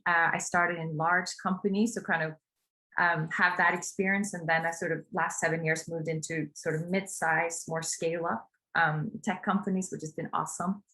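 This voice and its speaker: A woman from Norway with a fairly low voice introduces herself hesitantly. She often restarts her phrases and fills pauses with "um.". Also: little pitch movement, sharp tone, rough recording. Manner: hesitant, many fillers and restarts. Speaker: panelist, HR executive. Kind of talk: online panel introduction.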